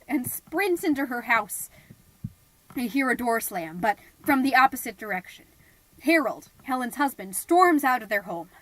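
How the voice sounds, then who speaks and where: A woman playing a chatty, high-strung suburban mom podcaster, telling stories conversationally with dramatic, confiding asides. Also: sing-song, moderate pace, a bit bright, low-quality recording. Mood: frantic, dramatic. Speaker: podcast host character. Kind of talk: scripted comedic monologue storytelling, conversational.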